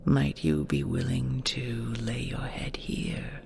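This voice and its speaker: A woman's voice: very deep voice, animated, takes it slowly, darkish tone, a little hiss or hum, full character voice. A woman playing an ancient, possessive and tender she-dragon in an intimate audio roleplay, whispering soothingly with formal, old-fashioned phrasing. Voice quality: breathy, creaky whisper.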